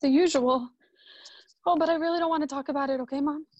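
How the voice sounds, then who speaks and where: A woman's voice: high-pitched, steady tone, quick pace, darkish tone, no echo, highs cut off, natural voice. A woman playing a hurt, reproachful young wife in a radio drama, emotional and plaintive. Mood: subdued, weary.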